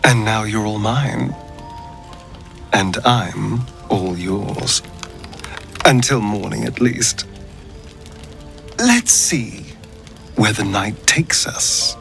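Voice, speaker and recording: A man's voice: fairly low pitch, very slow, vocal fry, pauses often, fairly bright tone, soft background music, full character voice. An actor playing a suave, flirtatious male lover in a game, teasing and purring with theatrical flourish. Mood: playful, flirtatious. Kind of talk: dramatic game romance dialogue.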